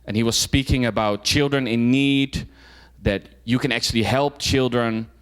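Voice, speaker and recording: A man's voice: medium pitch, normal speed, fairly bright tone, clean tone. A young adult man from the Netherlands, telling his personal story as a guest speaker with many fillers and restarts. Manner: informal, frequent fillers and restarts. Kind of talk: personal talk to a club audience.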